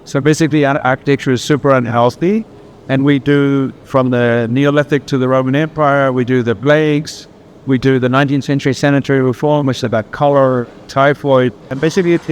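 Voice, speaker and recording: A man's voice: mid-range voice, slight background noise. A man from New Zealand with a New Zealand accent presents an exhibition as its curator, in an emphatic style that builds points through repetition. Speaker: exhibition curator, architecture professor. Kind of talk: guided exhibition walkthrough. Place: exhibition gallery, indoors.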